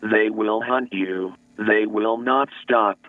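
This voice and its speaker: A very tinny, radio-like horror tape voice, acted by a man, slow and ominous. It delivers measured warnings in a lowish pitch, as if from an old analog broadcast. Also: fairly even intonation. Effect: lo-fi radio filter. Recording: tinny, narrow-band analog tape playback.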